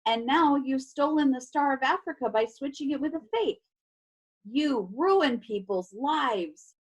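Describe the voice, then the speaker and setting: A woman's voice: high voice, animated, a little slow, low-quality recording. A woman voicing an indignant, defiant boy hero in a storybook read-aloud. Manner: accusing, defiant.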